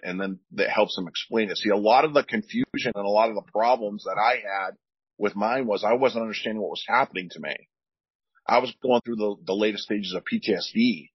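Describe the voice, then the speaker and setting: A veteran man with a deep, husky voice talks earnestly on a podcast and often repeats himself while he searches for words. The sound is dry and a little dull. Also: no echo. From United States. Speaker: veteran guest. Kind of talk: candid podcast talk.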